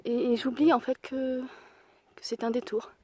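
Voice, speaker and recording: A young woman with a hoarse voice from a cold, slightly out of breath, chatting casually to her camera while hiking a mountain trail outdoors. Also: sits high, level tone, measured pace, dark tone, no room sound, studio quality. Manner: chatty and self-deprecating, thinking aloud. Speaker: hiker vlogging a mountain trail. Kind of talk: casual spoken travel vlog.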